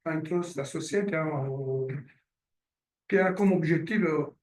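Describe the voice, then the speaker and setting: A man's voice: a little high, measured pace, a little mellow, slight background noise. A middle-aged man from Italy, with an accent mixing Italian and French, giving a hesitant business presentation with many fillers. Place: meeting room. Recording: roomy sound from a distant mic.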